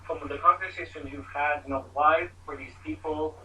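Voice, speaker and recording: A man's voice: a little high, fairly even intonation, normal speed, bright, forceful tone, far from the mic, a little hiss or hum, low-quality recording. A man putting questions to a panel, hesitant and filler-heavy. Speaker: reporter asking questions.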